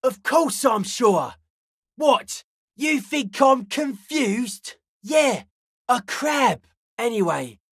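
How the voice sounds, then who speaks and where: A woman's voice: medium pitch, dry recording, studio quality. A woman voicing an earnest young male raver and amateur psychic in a video game, slangy, cryptic and matter-of-fact. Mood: earnest, insistent. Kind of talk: video game character dialogue.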